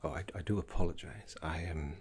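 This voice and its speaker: A man voicing a gentle, fussy, scholarly older necromancy teacher in a soft ASMR roleplay, breathy and half-whispered, with polite, hesitant phrasing. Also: low-pitched. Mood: absent-minded. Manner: polite, hesitant, slow and deliberate.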